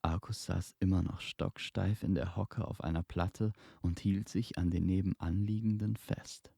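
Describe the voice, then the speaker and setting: Guy reading fantasy audio drama narration, dark mellow creaky voice, measured and suspenseful. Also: low voice, fairly even intonation, no room sound, studio quality.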